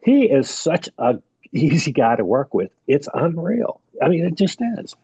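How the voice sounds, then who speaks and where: A man's voice: on the high side, big pitch swings, unhurried, dark tone, husky, no room sound. A man guest on a wrestling podcast, chatting candidly and often repeating words as he starts a thought. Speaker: guest, wrestling producer and manager. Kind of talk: casual podcast chat. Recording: dull, dry sound.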